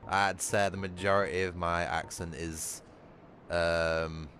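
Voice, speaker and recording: Deep-voiced sweary Manchester streamer. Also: male voice, little pitch movement, slow, a bit bright, a little hiss or hum. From England. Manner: blunt, sweary, chatty. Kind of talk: casual livestream gaming chat.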